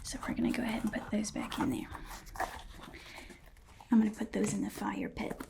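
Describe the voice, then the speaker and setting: A woman whispering softly and breathily in an ASMR roleplay as a playful, fussy appraiser of magical items. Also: medium pitch, flat delivery, a little fast, creaky. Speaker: ASMR performer.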